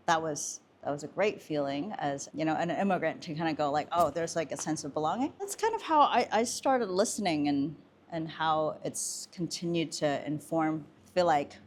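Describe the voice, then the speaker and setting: A woman in her thirties from Hong Kong, a composer, speaking reflectively in halting phrases full of fillers. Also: low-pitched, a little mellow, slight background noise. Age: 30s. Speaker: composer and sound artist guest. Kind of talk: casual conversation.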